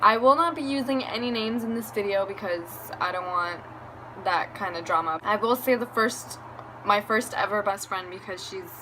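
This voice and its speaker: A woman's voice: medium pitch, normal speed, sharp tone, rough recording. A young woman from Venezuela telling a candid, rambling story time for her vlog, laughing now and then. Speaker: beauty vlogger. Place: room at home with a fan running. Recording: fan hum in background.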